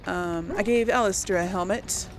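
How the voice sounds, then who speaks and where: Female let's play host, creaky voice, casual thinking-aloud delivery, faint noise behind. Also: medium pitch, moderate pace. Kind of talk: casual gameplay commentary, talking to viewers.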